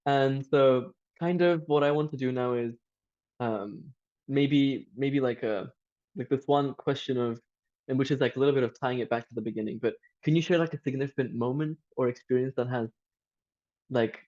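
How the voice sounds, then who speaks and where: A man's voice: mid-range voice, normal speed, darkish tone. A young man from Cyprus, a native Turkish speaker, moderating a panel podcast in an earnest, searching way with many fillers and restarts. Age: young adult. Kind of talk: casual panel discussion. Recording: slightly dull lo-fi audio.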